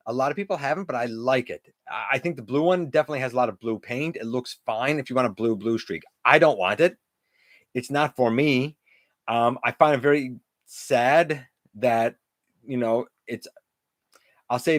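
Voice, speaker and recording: A middle-aged man with a loud, carrying voice hosts a casual livestream, chatting and answering viewers' comments. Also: medium pitch, no echo, clean studio sound. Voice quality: loud voice that carries. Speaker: livestream host; teacher. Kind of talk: casual live-stream chat with viewers.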